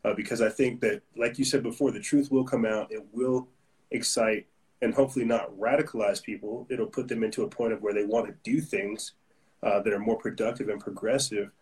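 A man's voice: lowish voice, steady tone, brisk, a little mellow, distant mic, poor audio. A man hosts a casual interview, asking long, rambling questions in an upbeat tone. His sentences often restart. He sits a little back from the microphone. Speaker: host.

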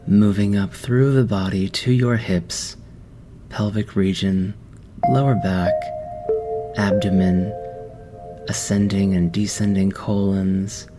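A man guiding a meditation in a slow, soft, soothing voice with long pauses. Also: fairly low pitch, steady tone. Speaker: meditation guide. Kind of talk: guided meditation. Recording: music underneath.

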